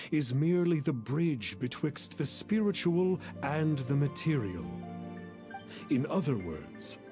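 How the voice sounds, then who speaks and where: Slow, grandly formal masked immortal, archaic speech, game cutscene. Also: male voice, on the high side. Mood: lofty. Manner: archaic, formal, calls himself "one". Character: masked aloof adeptus in disguise, young man. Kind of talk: video game cutscene dialogue.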